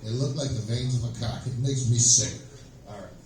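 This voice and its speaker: A man doing an amateur stand-up set on stage, telling jokes in a halting, rambling way. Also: medium pitch, steady tone, darkish tone. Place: small live comedy venue, stage. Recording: echoey room, distant microphone.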